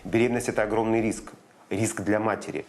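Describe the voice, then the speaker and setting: A gynecologist answering interview questions, hesitant at times, with restarts. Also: male voice, on the low side, fairly even intonation, fast, crowd noise. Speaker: gynecologist, clinic head.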